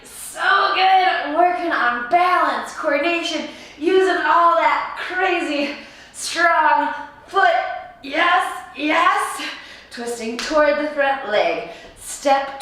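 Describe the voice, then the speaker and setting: A young woman with a raspy, hoarse voice leads a home workout, bubbly and playful, often giggling between cues. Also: sits high, slow pace, bright, forceful tone. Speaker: fitness coach. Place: apartment room. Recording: echoey room, distant from mic.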